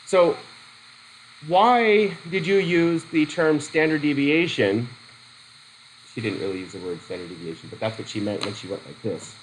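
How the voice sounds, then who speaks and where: Slightly high-voiced man lecturing a class. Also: a little hiss or hum, poor audio. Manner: halting, restarts sentences, questions the class. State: has a cold. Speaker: psychology methods teacher. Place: classroom with a whiteboard.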